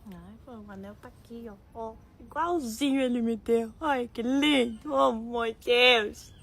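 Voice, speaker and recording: A woman's voice: fairly high pitch, measured pace, gravelly, a bit bright. A woman in her thirties chatting playfully on social media, gushing and joking. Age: 30s. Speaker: reality show participant. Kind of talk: casual social media video.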